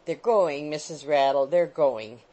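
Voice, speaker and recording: A woman voicing a wretched, anxious young gentleman who speaks meekly, politely and apologetically in a storybook. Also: mid-range voice, sing-song, moderate pace, close to an everyday voice. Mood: anxious, placating. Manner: meek, pleading, polite.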